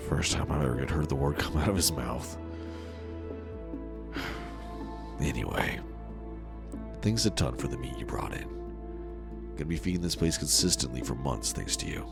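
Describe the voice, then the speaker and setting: A candid village man from an audio drama, played by an actor. His voice is extremely deep and gravelly, with lively swings in pitch, casual and earnest in manner, over clear background music. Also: moderate pace, long pauses, full character voice. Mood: sardonic, casual. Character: candid, foul-mouthed village man, the general's earnest friend.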